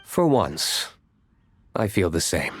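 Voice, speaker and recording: A man's voice: low-pitched, big pitch swings, slow pace, full character voice. A man voicing a reserved, businesslike character in a video game, with a dry manner. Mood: dry, weary. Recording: clear music under the voice.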